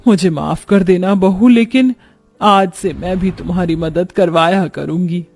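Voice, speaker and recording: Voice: mid-range voice, measured pace, darkish tone, faint music behind. A man voicing a middle-aged mother-in-law in a family cartoon, bossy but caring, giving familiar instructions. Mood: apologetic.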